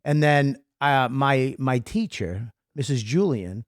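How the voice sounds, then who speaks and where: A man's voice: mid-range voice, expressive, takes it slowly, no echo, studio quality. A man from Boston with a Boston accent, a comedian guest telling his life story in a rambling, self-deprecating way. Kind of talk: interview storytelling.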